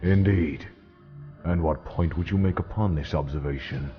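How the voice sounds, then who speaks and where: A man playing a wry, authoritative military judge in an audio drama, measured and sardonic. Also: very deep voice, dull audio, soft background music. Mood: stern, measured. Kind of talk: fan audio drama acting.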